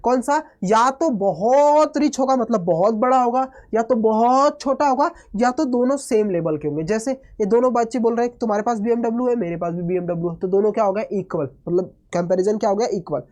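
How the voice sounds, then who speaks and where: A man teaching an online maths lesson, hoarse from a cold, energetic, mixing Hindi with English phrases and constantly checking in with students. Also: high voice, fairly quick, hardly any pauses. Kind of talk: online exam-prep lesson.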